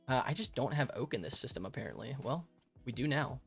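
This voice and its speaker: A man's voice: mid-range voice, sing-song, fast talker, gravelly, a bit bright, soft background music. Chatty young man live streaming. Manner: friendly, rambling, laughs often, many fillers and restarts. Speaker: live streamer answering chat. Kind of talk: casual live-stream chat while gaming. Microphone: modest home microphone.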